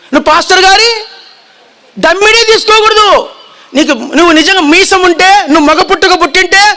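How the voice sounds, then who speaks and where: A man preaching a fiery sermon, shouting and having the congregation repeat his lines. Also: very high voice.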